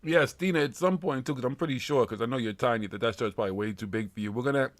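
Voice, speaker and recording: Man, 50, live-show co-host, rapid rambling profane banter. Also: medium pitch. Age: 50s. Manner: loose, profane, rambling storytelling. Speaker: podcast co-host. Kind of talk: casual live-stream chat reading comments.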